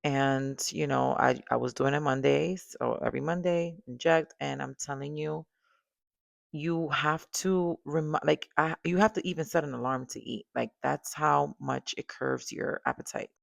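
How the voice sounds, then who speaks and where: A woman's voice: deep voice, normal speed, gravelly, no room sound, clean studio sound. A woman with a Dominican accent, hosting a podcast and chatting candidly about her own experience, with lots of fillers and asides. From Dominican background. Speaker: podcast host. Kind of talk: casual personal talk to camera.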